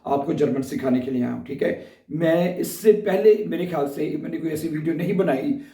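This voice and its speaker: A hoarse man with a sore throat gives a casual beginner language lesson, talking in a slightly raised register. He keeps pausing to check that his viewers are following along. His voice sits back from the mic in a roomy, echoing space. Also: a little high, warm tone, far from the mic. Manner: chatty, repeats "ठीक है?" to check in with viewers. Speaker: German teacher.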